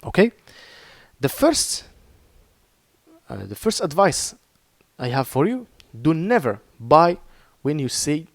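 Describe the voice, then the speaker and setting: A man from Morocco with a Moroccan accent, whose first language is Arabic, giving an earnest, halting lesson on trading with many fillers and restarts over his screen. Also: mid-range voice, no room sound. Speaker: crypto trading teacher. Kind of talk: informal screen-share lesson.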